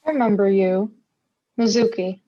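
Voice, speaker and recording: A woman's voice: medium pitch, moderate pace, no room sound, natural voice. A woman playing a brash, sarcastic young swordfighter and thief in a dramatic script reading, with a blunt, mocking manner. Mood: curt. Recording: muffled lo-fi microphone audio.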